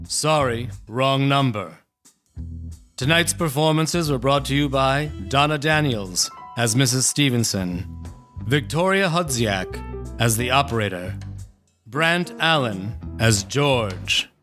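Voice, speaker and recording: A man announcing a radio drama in a formal, deliberate, scripted style. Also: mid-range voice, lively intonation, a bit bright, soft background music.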